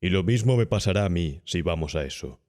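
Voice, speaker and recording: Horror audiobook role: low-voiced young male doctor, acted by a man, cool, unscrupulous, insolently calm and persuasive. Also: a little fast. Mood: calm cynical persuasion. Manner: smooth, insolent, calmly persuasive. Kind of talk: audiobook character acting.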